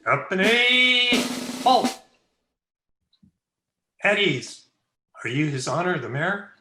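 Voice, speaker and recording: An actor playing a drill team leader with a military but polite manner in an old-time radio comedy, heard in a roomy recording. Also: male voice, high voice, sing-song, slow, over music, heavily acted. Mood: commanding. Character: drill team leader, barking orders yet politely lost. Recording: roomy, distant home microphone.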